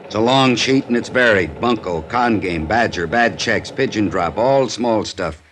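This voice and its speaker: An American actor playing a brisk, wry, plain-spoken police detective in a 1950s radio crime drama. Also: male voice, fairly low pitch, lively intonation, a bit bright. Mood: matter-of-fact, rueful. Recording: noisy old radio recording.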